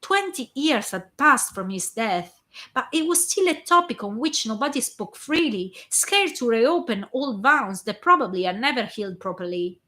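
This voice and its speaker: A hoarse woman reading a long story aloud in a steady way, sometimes stumbling and restarting a word. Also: on the high side, moderate pace. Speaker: fan fiction reader.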